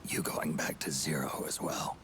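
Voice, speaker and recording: An actor playing a prematurely aged soldier in a video game, gruff, terse and world-weary, with a rasping voice. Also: male voice, a bass voice, fairly even intonation, moderate pace, fairly bright tone, a little hiss or hum. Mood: gruff, wary. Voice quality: very gravelly, rasping. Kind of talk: cinematic video game dialogue.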